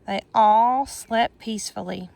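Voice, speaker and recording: A woman reading a rhyming picture book aloud to children in an expressive sing-song manner, with chatty asides, outdoors on a farm with goats. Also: fairly high pitch, measured pace, vocal fry, dry recording.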